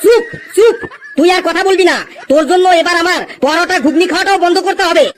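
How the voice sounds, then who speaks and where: High-pitched, fast-talking, exasperated cartoon schoolteacher played by a woman. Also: slight background noise. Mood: exasperated scolding. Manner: scolding, questioning, threatening. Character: exasperated, scolding schoolteacher in a comic classroom cartoon. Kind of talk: cartoon comedy dialogue.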